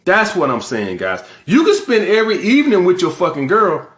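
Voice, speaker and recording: A man's voice: high voice, huge pitch swings, normal speed, fairly bright tone, reverberant. A man giving dating advice straight to his audience, talking bluntly and swearing for emphasis. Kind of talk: direct-to-camera advice monologue.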